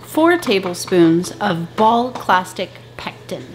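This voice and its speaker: A woman in her thirties talking to camera in her home kitchen, giving chatty, brisk canning instructions. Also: mid-range voice, very animated, slight background noise. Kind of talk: casual how-to talk to camera. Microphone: camera at close range.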